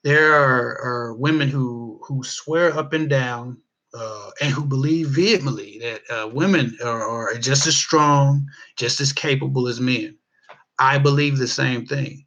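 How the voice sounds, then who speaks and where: A man's voice: mid-range voice, a bit bright, dull audio. An American man from the Midwest giving casual, unscripted advice to viewers in an emphatic, rambling way, repeating words to drive his points home. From Midwestern US (Ohio). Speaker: relationship advice vlogger.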